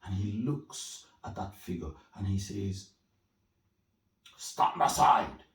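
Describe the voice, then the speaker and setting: A man from Nigeria telling a folktale as a storyteller, theatrical, with suspenseful pauses and emphasis. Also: deep voice, gravelly, dark tone. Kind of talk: dramatic oral storytelling of a folktale. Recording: echoey room, distant from the mic.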